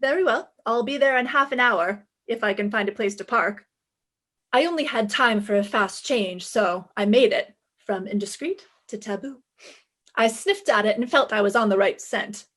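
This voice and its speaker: A woman acts out a self-assured female private eye from a fifties radio mystery. Her voice sits fairly high, and she tosses off her lines with breezy, flirtatious wit. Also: moderate pace, a bit bright. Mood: brisk, playful. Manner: wisecracking, breezy. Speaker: lead actress and first-person narrator. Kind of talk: 1950s radio detective play acting.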